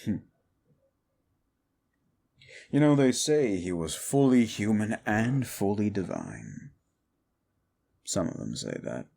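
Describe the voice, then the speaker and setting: A man voicing a brooding, bitter fallen demon who lectures the listener in a slow, condescending way in an audio roleplay drama. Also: lowish voice, sing-song, gravelly, dark tone, heavily acted.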